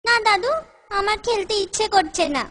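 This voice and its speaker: Lady doing a plucky little cartoon village boy, super high bright voice, pleading. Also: normal speed. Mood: earnest, downcast. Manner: pleading, insistent, childlike. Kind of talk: comic cartoon acting. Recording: faint music behind the voice.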